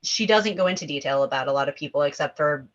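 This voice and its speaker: A woman's voice: deep voice, fast talker. A woman in a casual panel discussion, speaking in an emphatic, opinionated way through slightly dull lo-fi audio. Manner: emphatic, opinionated, talking in numbered points. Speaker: table read performer.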